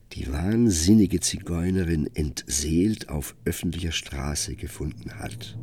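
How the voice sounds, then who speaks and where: Grave Gothic storyteller, male, extremely low gravelly voice, slow solemn delivery, radio play, music behind. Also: a little mellow, exaggerated voice. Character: grave, brooding narrator of a Gothic literary tale. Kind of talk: dramatic literary narration in a radio play.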